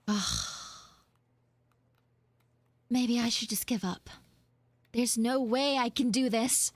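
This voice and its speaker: A woman voicing a bratty, dramatic tsundere anime girl in a live improvised audio roleplay, theatrical and whiny, with drawn-out words. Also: fairly high pitch, no echo. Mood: whiny, sulky. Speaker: streamer, voice actor.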